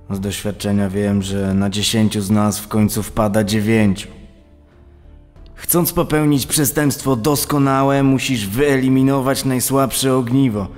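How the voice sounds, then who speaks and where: An actor playing a seasoned convict swindler, giving deliberate, cautioning advice in a true-crime podcast dramatization. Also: male voice, lowish voice, steady tone. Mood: calm, cautioning. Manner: slow, deliberate, advising. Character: seasoned male convict swindler, coaching a younger accomplice. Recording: long reverb, music underneath.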